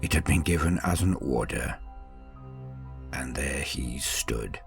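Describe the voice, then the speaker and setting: A man narrating scripted horror fiction dramatically, with grave, suspenseful, deliberate phrasing. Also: a bass voice, creaky, soft background music. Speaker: storyteller.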